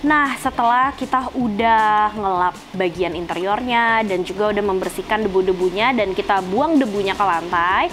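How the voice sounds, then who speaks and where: A young woman with a Jakarta accent talks viewers through a hands-on task in a chatty, slangy manner, dropping frequent "eh" fillers. Her voice sits at a middling pitch and is recorded outdoors on a clip-on mic. Background music plays clearly underneath her. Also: normal speed, few pauses. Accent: Jakarta Indonesian. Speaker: car channel presenter. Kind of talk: casual how-to presenting to camera. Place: outdoors by a car in a residential driveway. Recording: music playing behind the voice.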